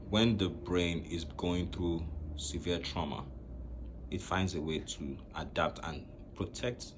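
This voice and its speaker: A young man from Nigeria speaking to camera in a slow, reflective way, pausing often between phrases. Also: low voice, a little hiss or hum. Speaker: vlogger. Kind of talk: personal talk to camera.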